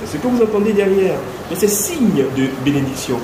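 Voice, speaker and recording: A male presenter with a fairly high, very lively voice introduces his guest through a series of rhetorical questions. His voice is heard in an echoey room, with heavy rain loud behind him. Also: a little fast, background noise. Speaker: interviewer and presenter. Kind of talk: presenting and interviewing.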